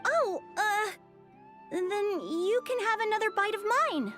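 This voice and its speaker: A small game child with an extremely high, squeaky voice. The child sounds eager and a bit pouty, with music behind. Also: female voice, animated, a little slow, fairly bright tone, heavily acted. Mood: sheepish. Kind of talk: scripted video game dialogue.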